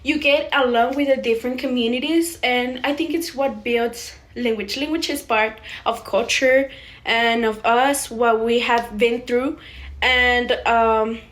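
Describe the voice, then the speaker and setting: Young mexican woman, spanish is her first language, rambling casual english full of likes and ums, voice a bit on the high side. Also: steady tone, a little slow, fairly bright tone. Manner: chatty, many fillers like "like" and "um". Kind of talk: casual personal monologue.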